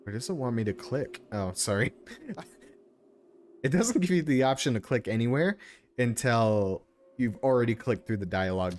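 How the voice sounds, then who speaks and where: A man with a medium-pitched voice commentates on a game for a stream. His delivery is casual and sarcastic, with plenty of swearing. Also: expressive, moderate pace, darkish tone. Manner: sarcastic, profane.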